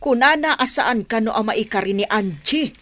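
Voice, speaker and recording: Woman acting blunt mother, animated pitch swings. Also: moderate pace. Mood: discontented. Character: frank, money-minded middle-aged mother of a grown son. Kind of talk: Ilocano comedy radio drama acting.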